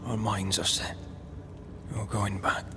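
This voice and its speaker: Tormented, devoted veteran in a game drama: grave, halting, low, unhurried murmur. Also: male voice, flat delivery. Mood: resigned resolve. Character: war-haunted man, brooding, tormented, devoted lover. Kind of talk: dramatic video game dialogue. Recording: music under the voice.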